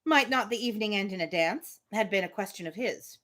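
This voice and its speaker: A woman hosting a live reading of a novel, reading aloud and breaking off for casual asides in an animated way. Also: mid-range voice, a little fast. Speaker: host of live book readings.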